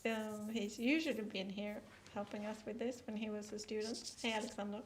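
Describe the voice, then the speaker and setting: A woman's voice: mid-range voice, fairly even intonation, brisk, slight background noise. A woman hosting a casual live stream, leading the talk with prompting questions and frequent small laughs. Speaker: rector and stream host.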